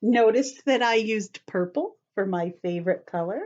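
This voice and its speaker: A woman teacher leading young children through a calming drawing activity, speaking gently and encouragingly straight to them. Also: medium pitch, normal speed. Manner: gentle, encouraging, talks directly to kids. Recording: dull lo-fi home video sound.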